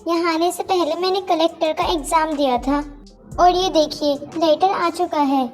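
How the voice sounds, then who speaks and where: A woman's voice: very high-pitched, fairly even intonation, normal speed, darkish tone. A woman voicing a kind, proud young daughter-in-law in a Hindi moral-story cartoon, with music behind her. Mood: proud, excited.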